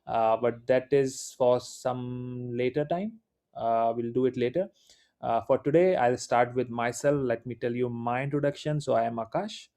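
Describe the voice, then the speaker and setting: A man's voice: medium pitch, moderate pace, mellow. A man with an Indian accent, native Hindi speaker, giving a live class in an informal, encouraging way with many fillers. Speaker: instructor. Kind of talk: live online class lecture.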